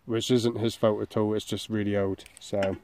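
A man's voice: fairly low pitch, flat delivery, normal speed, darkish tone, a little hiss or hum. A young man with an English accent, chatting casually to camera while walking through woodland and camping with his son. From England. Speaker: bushcraft vlogger. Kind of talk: casual outdoor vlog. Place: woodland and grassy campsite outdoors. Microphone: handheld camera.

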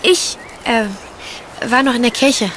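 A woman's voice: a little high, slow, fairly bright tone, slight background noise. An actress playing a nervous, naive young lady in a German audio drama, hesitant and evasive. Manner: hesitant, flustered.

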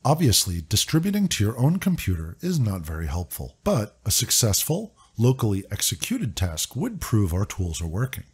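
A man narrating a scripted software walkthrough in a clear, upbeat, step-by-step instructive way. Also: lowish voice. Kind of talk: scripted screen-recording walkthrough.

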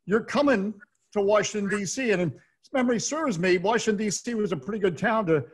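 A middle-aged American man with a fairly high voice hosts an interview over a video call. He speaks like a radio announcer, though he often doubles back on his words. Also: fairly quick, husky. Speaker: host, radio play-by-play announcer. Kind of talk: hosted Q&A interview. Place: indoor room at home, on a video call.

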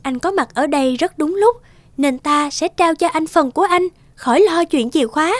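A woman voicing a gentle, gracious young female moon fairy with a sweet voice in a children's cartoon fairy tale. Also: high voice, normal speed, no echo, pitched above the performer's own voice, close to an everyday voice. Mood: kind, generous.

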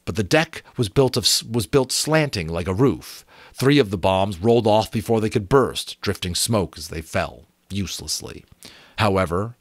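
A man's voice: low voice, a bit bright, no echo. A man in his thirties reading an adventure novel aloud expressively, with occasional small stumbles, into a close microphone. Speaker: audiobook reader. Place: small home room with bookshelves. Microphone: close desk microphone.

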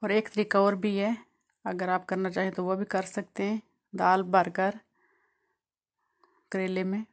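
A woman from Pakistan hosting a home cooking channel, calmly walking through each step of a recipe in a voice-over. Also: medium pitch, a little slow, long pauses. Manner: calm, explanatory, walks through each step.

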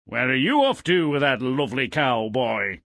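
A man's voice: medium pitch, big pitch swings, moderate pace, a bit bright, no room sound, clean studio sound. A man voicing a sly swindler in a fairy-tale video game, with a wheedling salesman's patter. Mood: enticing. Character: sly, wheedling swindler trading magic beans. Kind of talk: video game character acting.

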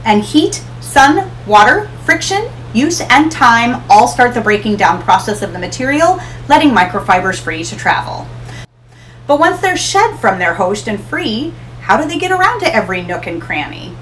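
A middle-aged woman hosts an educational talk to camera from a small room. Her voice sits a little high and swings widely in pitch as she explains things in an upbeat, expressive way. A faint hum sits underneath. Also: normal speed. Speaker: ocean education channel host. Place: small room in front of a hanging tapestry.

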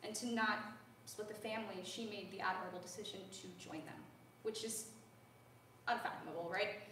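A woman's voice: medium pitch, fairly even intonation, brisk, fairly bright tone. Young woman lecturing, earnest, lots of ums, far from the mic in a big echoey hall. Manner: earnest, conversational, frequent ums. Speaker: voice student giving a lecture recital. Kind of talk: spoken lecture. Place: large recital hall with a grand piano. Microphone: far-off room camera. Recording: echoey hall, heard from afar, faint hiss.

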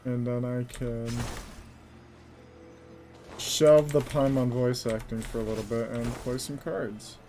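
Laid-back young man streaming, slow-paced. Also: mid-range voice, fairly even intonation, dark tone. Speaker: game streamer. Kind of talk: casual live gameplay commentary. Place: indoors, live stream over game audio. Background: game music and effects.

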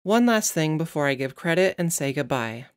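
A woman's voice: low-pitched, lively intonation, normal speed. A woman hosting and narrating, moving between scripted document reading and casual sign-off chat. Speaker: narrator and channel host.

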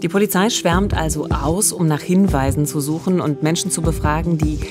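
A woman's voice: deep voice, steady tone, normal speed, music in the background. A woman in her thirties co-hosts a true-crime podcast. She narrates conversationally, speaking close to a microphone in a studio. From eastern Germany. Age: 30s. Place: wood-panelled radio studio. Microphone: close studio mic with pop filter.

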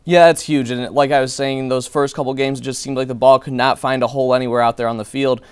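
A man's voice: mid-range voice, little pitch movement, fast, clear, resonant tone, dry recording. A young man working as a sports analyst in a small TV studio, breaking down games and players confidently and fluently. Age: young adult.

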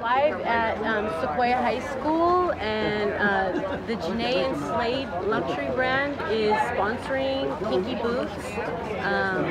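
Slow woman over loud crowd chatter. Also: a little high. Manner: slow and deliberate. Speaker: brand representative giving thanks. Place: outdoors at a busy red carpet event. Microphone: handheld interview mic.

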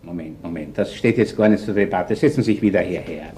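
An actor playing a world-weary, shrewd senior police inspector in a radio crime drama, dry and placating, with a Viennese accent. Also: male voice, sits low, fast talker, mellow, natural voice. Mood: patient, probing.

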